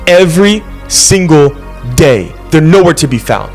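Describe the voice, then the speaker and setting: A man's voice: on the high side, expressive, a little slow, darkish tone, faint music behind. A man recording an impassioned video statement, urgent and accusatory.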